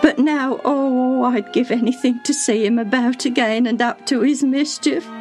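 A woman's voice: sits high, slow pace, darkish tone, soft background music, voice pushed up. A woman voicing a faithful, grieving servant woman in a storybook reading, sobbing and lamenting in a folksy way. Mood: tearful, despairing. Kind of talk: dramatised Bible storybook reading.

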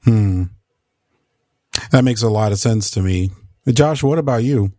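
A man's voice: on the low side, a little slow, warm tone, no room sound, clean studio sound. A man hosting an interview, guiding the talk with questions to his guests. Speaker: host.

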